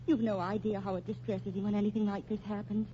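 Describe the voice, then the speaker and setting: An actress playing a genteel, fiercely loyal American wife, protesting tartly in a theatrical 1940s radio style. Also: female voice, medium pitch, level tone, quick pace, mellow, lightly acted. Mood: pleading, indignant. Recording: muffled old radio recording, faint noise.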